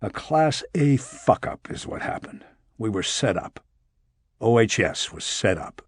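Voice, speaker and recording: A man voicing a sharp, exasperated female federal agent in an audiobook, scolding and sarcastic. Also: on the low side, very animated, unhurried, slightly rough, no room sound, clean studio sound, exaggerated voice. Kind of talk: audiobook character dialogue.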